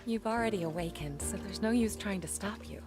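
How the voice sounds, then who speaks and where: Woman acting a gentle, caring family member, mid-pitched. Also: a little fast, natural voice. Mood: tender concern. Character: gentle, caring female relative, accepting and gently worried. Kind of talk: English game dub acting. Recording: music behind the voice.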